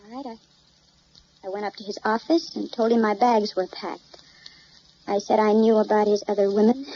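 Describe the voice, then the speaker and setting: An actress playing an elegant, refined widow in an old-time radio mystery, with theatrical delivery. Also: female voice, mid-range voice, fairly even intonation, moderate pace, dark tone, lightly acted. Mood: pained, earnest. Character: elegant, soft-spoken widow, loving on the surface, secretly ruthless. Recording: dull, hissy old radio recording.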